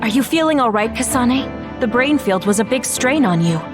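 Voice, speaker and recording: A woman voicing a kind, caring young soldier in an anime-style game, speaking gently and with concern. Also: a little high, lively intonation, normal speed, music in the background, lightly acted.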